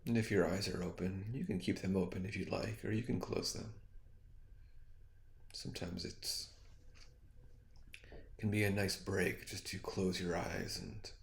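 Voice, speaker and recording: Slow, low-voiced man guiding meditation. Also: steady tone, vocal fry. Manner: soothing, gently suggestive, unhurried with long pauses. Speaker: guided meditation teacher. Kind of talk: guided meditation, hypnotic spoken guidance.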